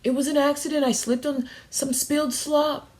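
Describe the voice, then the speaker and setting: Woman as a high-pitched kindly pig schoolteacher. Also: little pitch movement, normal speed, a little mellow, close to an everyday voice. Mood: gentle, reassuring. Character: kindly, patient female pig schoolteacher, earnest and reassuring.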